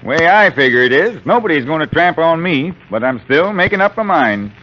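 A man's voice: a little high, very animated, moderate pace, a little hiss or hum. A man playing a stubborn, grumpy Massachusetts farmer in a 1940s radio drama, talking in a folksy, terse grumble. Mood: grumbling, reluctant. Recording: dull vintage 1940s radio recording.

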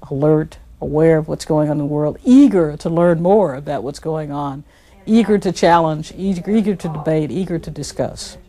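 A woman's voice: deep voice, moderate pace, mellow. Earnest middle-aged woman professor. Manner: earnest, emphatic listing. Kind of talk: interview.

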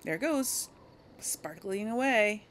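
Female let's play host, mid-pitched, slow and musing, casual commentary. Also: expressive, fairly bright tone, a little hiss or hum. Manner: musing, thinking aloud. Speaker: gaming video host and player.

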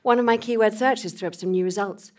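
Woman as a curt, exasperated hacker, mid-pitched. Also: a little fast, darkish tone, natural voice. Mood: matter-of-fact. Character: curt, impatient female computer hacker. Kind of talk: live comedic audio-drama dialogue. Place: on a small theatre stage before a seated audience. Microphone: stand microphone.

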